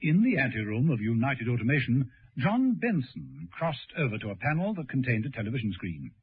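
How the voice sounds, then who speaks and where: A man's voice: mid-range voice, sing-song, a little fast, breathy edge. A man giving scripted narration for a radio drama. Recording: tinny, noisy old radio recording.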